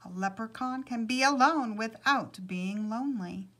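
Woman acting wee male leprechaun, Irish accent, sly, coaxing lilt, bright tone, slow storybook delivery. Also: a little high. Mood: content. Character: small, solitary, sly male leprechaun cobbler.

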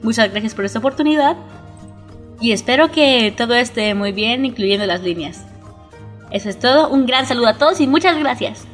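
A woman's voice: a little high, lively intonation, a little fast. A woman with a Latin American Spanish accent, an amateur voice actress, giving a casual thank-you message. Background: music.

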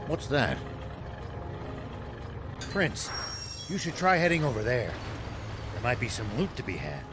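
A man playing a steady, gruff old war veteran in a video game. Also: mid-range voice, a little slow, very noisy. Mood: approving, alert. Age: older.

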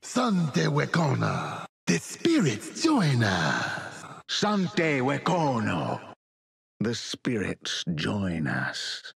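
Slow gravelly solemn shaman. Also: medium pitch, huge pitch swings, fairly bright tone, heavily acted. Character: solemn shaman calling upon spirits. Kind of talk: video game voice lines.

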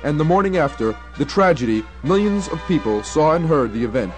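A young man reads radio documentary narration formally and deliberately. His voice sits a little high, with faint music behind it. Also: animated. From United States. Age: young adult.

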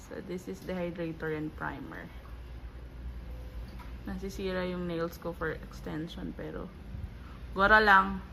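A woman's voice: fairly low pitch, measured pace, vocal fry, long pauses, sharp tone, slight background noise, poor audio. A woman with a Filipino accent, chatting casually in a vlog at a nail salon and giggling often. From Philippines. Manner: giggly, chatty, mixing English and Tagalog. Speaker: vlogger.

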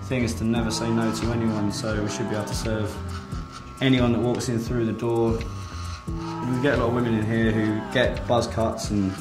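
Casual barber, roomy sound, loud music. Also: male voice, lowish voice, moderate pace. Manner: casual, relaxed, with hedges like "kind of". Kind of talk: interview for a promotional video. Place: indoors in a barbershop.

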